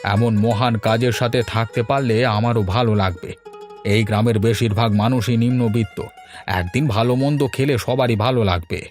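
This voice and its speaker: Man playing a low-voiced kindly old village father. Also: steady tone, moderate pace, darkish tone, faint music behind, close to an everyday voice. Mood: heartfelt. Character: kindly elderly village father-in-law, affectionate and moralizing. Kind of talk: cartoon fairy-tale voice acting.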